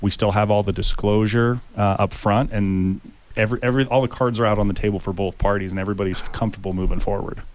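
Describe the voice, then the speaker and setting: A man with a deep voice, a home inspector talking as a guest on a radio talk show. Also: fairly even intonation, fast.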